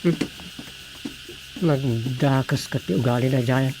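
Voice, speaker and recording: A man acts a village councillor in a Filipino radio comedy drama. He grumbles and questions in a middling pitch with a Filipino accent, over some background noise. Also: slow. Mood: disapproving. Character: disapproving village councillor siding with the chairman.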